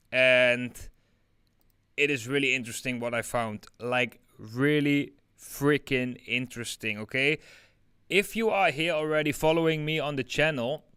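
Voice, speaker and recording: A man's voice: mid-range voice, fairly bright tone, clean tone, dry recording, studio quality. Chatty Dutch-accented man on a livestream. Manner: chatty, many fillers like "like" and "yeah". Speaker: crypto livestream host. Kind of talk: casual live chart analysis.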